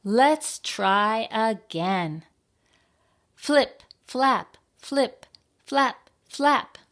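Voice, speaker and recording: A woman voicing an eager bird teacher from a picture book who coaches little fledglings with emphatic, drawn-out words. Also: on the high side, expressive, fairly bright tone, voice pushed up, exaggerated voice. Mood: excited coaching. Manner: emphatic, drawn-out chanted commands.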